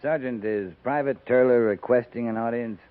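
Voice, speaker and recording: A man acting a measured, authoritative American cavalry captain who speaks deliberately and tersely in a 1950s radio drama. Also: medium pitch, dry recording, natural voice. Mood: calm, questioning. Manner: deliberate, terse, thoughtful pauses. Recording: tinny narrow-band 1950s radio recording.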